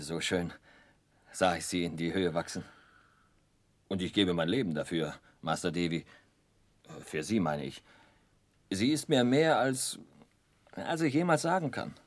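Casting: bashful, devoted young seaman, deepish voice, halting and sincere, dry radio-play sound. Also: no echo. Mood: deeply moved. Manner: halting, earnest, repeats words as if overcome. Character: shy, sturdy young fisherman, devoted and tongue-tied. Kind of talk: 1960s German radio play acting.

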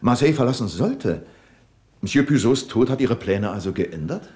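A man playing a calm, probing police commissioner in a 1970s radio drama, with measured, pointed questions. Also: mid-range voice, close to an everyday voice.

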